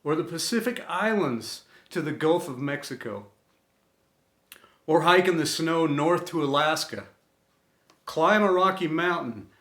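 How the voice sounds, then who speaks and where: Slow, earnest man in an echoey room. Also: a little high, a bit bright. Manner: earnest, deliberate, phrase-by-phrase delivery with pauses. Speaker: storyteller and host of an inspirational series. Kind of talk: scripted inspirational tribute reading.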